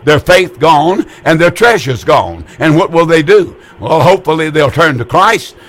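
A man's voice: fairly high pitch, animated, moderate pace, a bit bright. Stammering preacher man in his eighties. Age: older. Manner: folksy, repetitive preaching with stammered restarts. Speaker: radio preacher taking calls. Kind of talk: call-in religious broadcast.

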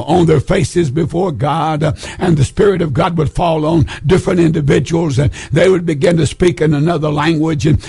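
Hoarse, gravelly preacher man. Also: on the high side, a little mellow, no echo. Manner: emphatic, repeats key phrases. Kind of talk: Pentecostal sermon teaching.

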